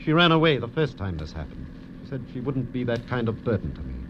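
A man's voice: mid-range voice, very animated, quick pace, natural voice. An actor playing an anxious, protective wealthy man in 1940s radio drama, earnest and urgent. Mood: pained, protective. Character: anxious wealthy protective husband, male blackmail victim in wheelchair. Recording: muffled old radio recording, faint noise.

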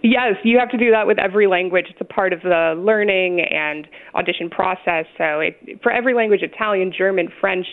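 A woman's voice: mid-range voice, a little fast, few pauses. Woman singer on a tinny phone line. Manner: chatty, laughs often. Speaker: guest opera singer. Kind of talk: phone interview. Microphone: telephone.

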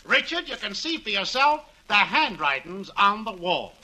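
Brash, high-pitched radio schemer. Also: male voice, very animated, normal speed, pushed and bright, heavily acted. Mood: pushy, excited. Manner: slangy, pushy sales patter. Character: brash small-time male schemer, fast-talking and cocky. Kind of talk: 1940s radio comedy acting. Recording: noisy 1940s radio recording.

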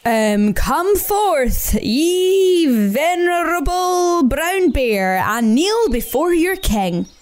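A woman's voice: very high-pitched, very animated, drawn-out words, hardly any pauses, voice pushed up, heavily acted. A woman voicing a pompous male badger who crowns himself king and speaks in grand, old-fashioned royal words.

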